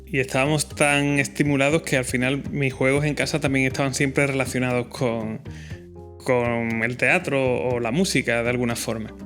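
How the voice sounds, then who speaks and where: A man's voice: mid-range voice, pushed and bright. A man, hosting a solo podcast in an earnest, reflective way. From Spain. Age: 30s. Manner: earnest, reflective, with fillers and restarts. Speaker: podcast host.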